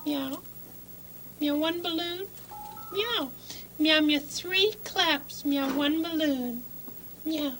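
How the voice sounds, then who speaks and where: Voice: high voice, drawn-out words, pauses often, fairly bright tone, soft background music, full character voice, rough recording. A performer voicing a sweet, polite female cat puppet who peppers her talk with meows in a children's television puppet play. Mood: sweet, eager. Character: sweet polite female cat puppet selling balloons, meowing between words.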